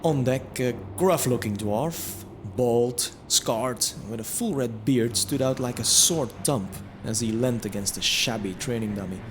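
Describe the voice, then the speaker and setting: A man's voice: mid-range voice, unhurried, few pauses, a little hiss or hum. A man narrating a scripted fantasy audio drama in the first person, reflective, with wry asides. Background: music under the voice.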